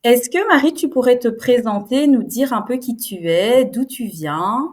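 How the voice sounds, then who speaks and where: A woman's voice: on the high side, expressive, measured pace, darkish tone. A woman hosting a podcast interview, asking questions in an encouraging, admiring way. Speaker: podcast host.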